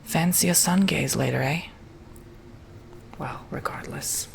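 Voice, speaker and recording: A man whispering softly and gently through an ASMR roleplay, as if doing someone's makeup. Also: a little high, creaky, a little hiss or hum. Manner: soft whispering, slow and gentle.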